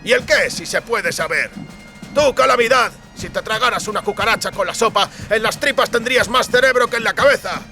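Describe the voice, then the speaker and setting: A mocking know-it-all soldier of fortune from a fantasy audiobook acted by a man. The voice is sharp and raised in pitch, delivering cutting, scornful remarks with authority. Faint music plays underneath. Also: a little fast. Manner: sardonic, cutting. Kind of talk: audiobook character acting.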